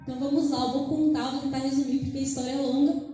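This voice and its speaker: A woman's voice: sits high, flat delivery, rapid-fire, mellow, soft background music. A woman with a São Paulo Brazilian accent giving an informal testimony as a guest preacher, conversational and grateful in manner. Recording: very echoey church hall.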